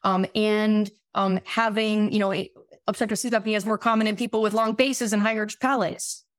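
A raspy-voiced woman in her thirties, a guest physician explaining at length in casual podcast talk, with many fillers and restarts. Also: medium pitch, flat delivery, normal speed, no echo, studio quality. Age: 30s.

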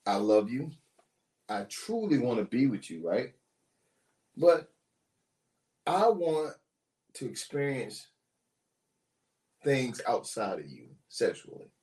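A man's voice: mid-range voice, big pitch swings, takes it slowly, long pauses, darkish tone, reverberant. A man co-hosting a casual relationship talk show, joking with crude banter and asking questions of the listeners. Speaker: podcast co-host.

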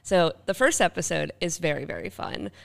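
A woman's voice: sits low, sing-song, moderate pace, vocal fry. A woman hosting a podcast in a casual, conversational style. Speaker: podcast host. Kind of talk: casual chat.

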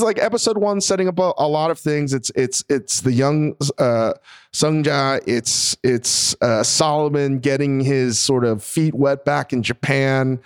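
A man in his forties co-hosting a podcast in casual chat. He rambles, restarts his sentences, and swears freely. His voice sits at an ordinary middle pitch. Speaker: podcast co-host. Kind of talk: casual chat about a TV show.

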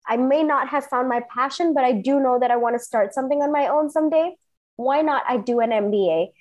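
A woman in her thirties who grew up in Singapore speaks as an interview guest, recounting her own path with earnest enthusiasm. Her voice sits a little high, and she leans on emphatic repetition as she tells her story. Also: brisk, darkish tone. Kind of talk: personal storytelling in conversation.

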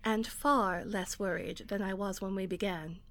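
A woman playing a brisk theatre director in an audio drama, with a dry, businesslike manner. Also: medium pitch, steady tone, a bit bright. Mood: wry, relieved. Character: brisk, wry theatre director running rehearsals.

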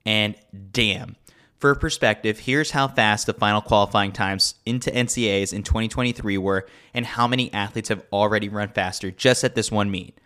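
A man's voice: fairly low pitch, a bit bright. A man reading a scripted sports newsletter as a podcast host, with a wry, tongue-in-cheek delivery. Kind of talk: scripted narration, sports newsletter read aloud.

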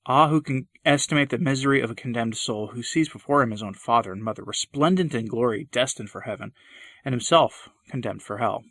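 A man's voice: mid-range voice, brisk, a bit bright, no echo. A man reading a sermon aloud in a solemn, impassioned, old-fashioned declamatory style. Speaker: preacher.

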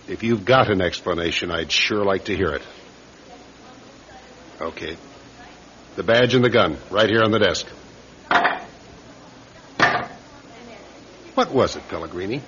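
Bossy skeptical american police captain, old radio crime show, animated pitch, kinda muffled recording. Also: male voice. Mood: grave, stern. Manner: blunt, questioning, authoritative. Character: police captain, commanding, skeptical, blunt man. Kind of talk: radio crime drama acting.

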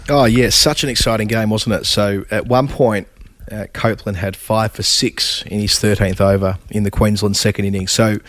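Hoarse man with a cold. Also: fairly low pitch, fairly even intonation, normal speed, dry recording. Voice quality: raspy. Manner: stat-heavy, with frequent fillers and restarts. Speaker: cricket podcast host. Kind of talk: casual sports chat.

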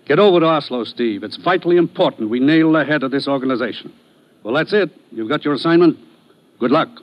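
A somewhat high-pitched American man acting as a commissioner giving orders. He is brisk, grave and authoritative in old radio-drama style. The recording is dull and dated. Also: lively intonation, natural voice. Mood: grave, commanding. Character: businesslike male commissioner briefing his agent.

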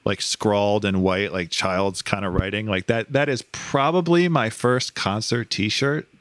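Man in his forties with a lowish voice and a dry, close sound. He banters wryly and plays up mock outrage. A relaxed, chatty delivery. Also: unhurried, no echo. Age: 40s. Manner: wry, dry humor with mock indignation. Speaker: music writer on a podcast. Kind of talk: casual podcast chat.

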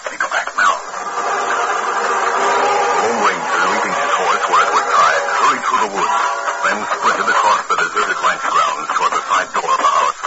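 A man's voice: sits low, normal speed, loud music behind the voice. A man delivering dramatic scripted narration for an old-time radio Western. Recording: muffled, very noisy old radio recording.

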